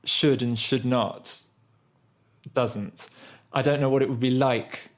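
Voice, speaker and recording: A man's voice: mid-range voice, fairly even intonation, slow pace. A young British man co-hosting a podcast, telling rambling, self-deprecating stories with frequent restarts. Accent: British English. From Britain. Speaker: podcast co-host. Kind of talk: casual conversational storytelling.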